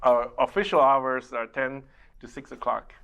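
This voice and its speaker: A middle-aged man whose first language is Mandarin Chinese, working as an immigration attorney and answering interview questions in a measured way, with many pauses to think. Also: mid-range voice, vocal fry, a bit bright, clean tone. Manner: measured, explains step by step with frequent uh pauses. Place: community TV studio.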